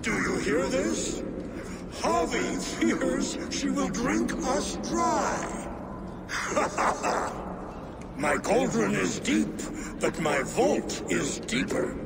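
Stately, high-pitched old giant king. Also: big pitch swings, extremely slow, creaky, heavily acted. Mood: jovial, boastful. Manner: stately, theatrical, formal. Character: old male frost giant king, hospitable but commanding. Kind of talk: scripted video game dialogue. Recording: loud background rumble and ambience.

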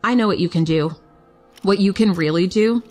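A woman's voice: medium pitch, moderate pace, a little mellow, faint music behind, close to an everyday voice. A woman playing a wistful, foul-mouthed fighter in audio fiction, speaking in a confessional, blunt way. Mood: earnest, urgent.

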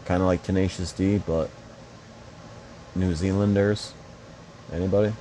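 A man's voice: low-pitched, fairly even intonation, a little slow, warm tone, slight background noise, rough recording. A young man casually commenting on a mobile fighting game, rambling and swearing with joking asides.

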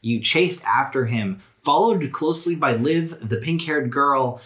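A man's voice: mid-range voice, lively intonation, a little slow, a bit bright. A man acting as game master, narrating scenes of a tabletop role-playing game and chatting casually with players. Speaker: game master and podcast host.

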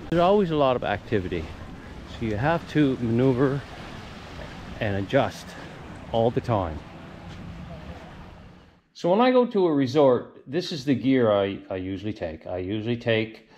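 A man hosting a fishing vlog, talking casually in a folksy, unscripted, halting way. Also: mid-range voice, darkish tone, slight background noise. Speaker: fishing channel host. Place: garage, outdoor beach and shoreline, varied spots.

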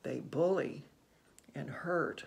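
An older person reading a picture book aloud to children, gently and unhurriedly, with musing asides. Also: medium pitch, gravelly. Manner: gentle, unhurried, musing with soft hmms and ohs. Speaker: children's read-aloud host. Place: small room indoors at home.

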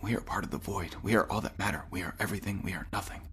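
Eerie, dazed wounded young clone. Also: male voice, low voice, fairly bright tone, husky, exaggerated voice. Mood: eerie, chanting. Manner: incantatory, repetitive, halting. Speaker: actor in a science fiction audio drama.

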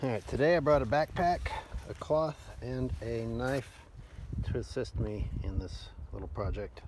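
A man's voice: mid-range voice, measured pace. A man foraging in the woods, chatting casually on a handheld camera and talking to his dog. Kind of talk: casual on-the-spot vlog talk. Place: outdoors in woodland. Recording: loud outdoor noise on camera mic.

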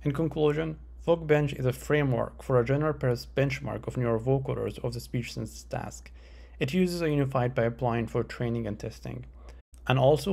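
A man giving a scripted academic presentation as a researcher, in a measured, formal, explanatory way. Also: medium pitch, gravelly, a little mellow. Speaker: researcher presenting a conference paper.

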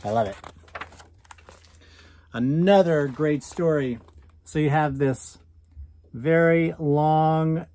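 Expressive American man reading aloud, dry sound. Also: a little high, no echo. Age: 30s. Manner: expressive, drawn-out emphasis on key words. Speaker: teacher reading a picture book aloud. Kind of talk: read-aloud with commentary and questions for children. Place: small indoor room at home.

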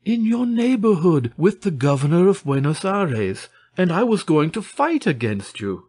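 A man voicing an excitable, earnest young man full of wonder in an old storybook tale, with emphatic exclamations. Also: fairly high pitch, measured pace, husky, voice pushed up, full character voice. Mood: excited, eager.

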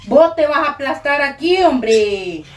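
An actress playing a young daughter, coaxing and calming others. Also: female voice, sits high, a little slow. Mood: urging. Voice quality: coaxing, placating. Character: young woman, pleading, patient daughter. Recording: loud background noise, birds outdoors.

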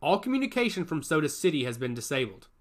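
A man voicing a grave older male rebel leader, measured and solemn. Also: on the high side, sing-song.

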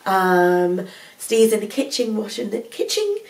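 A woman's voice: medium pitch, very animated, measured pace, creaky. A woman, stuffed up with a cold, chatting rambly to the camera at home. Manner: rambling, self-correcting, chatty. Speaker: vlogger, full-time mum. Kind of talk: casual chatty vlog to camera. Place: living room at home. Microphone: camera on a tripod at a distance. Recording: mic somewhat far off.

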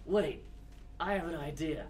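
A woman in a live comedic fandub, cracking brash, shouty jokes. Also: deep voice, measured pace. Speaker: fandub performer. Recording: convention crowd behind.

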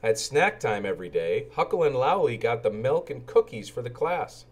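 A man reads a children's storybook aloud as a storyteller. His delivery is expressive and animated, with intonation that moves widely from line to line. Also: mid-range voice, moderate pace, slightly rough. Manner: animated, acts out character lines.

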